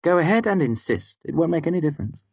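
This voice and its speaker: Guy doing a spiteful young woman, high sneering voice, dry close sound. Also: big pitch swings, fast, vocal fry, slightly rough, no room sound, exaggerated voice. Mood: contemptuous. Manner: sharp, mocking, rising to shouts. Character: fierce proud young woman moneylender, venomous.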